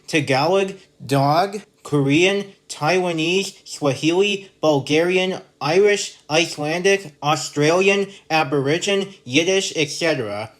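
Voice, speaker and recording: Canadian man reading a measured review. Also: fairly high pitch, a bit bright. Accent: general North American. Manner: measured, list-like, reading out notes. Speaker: podcast host and episode reviewer. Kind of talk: scripted review narration.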